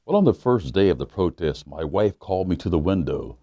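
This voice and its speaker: A man, a pastor, reading a children's book aloud in a measured storytelling manner that slows down on key lines. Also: low voice, lively intonation, warm tone, dry recording.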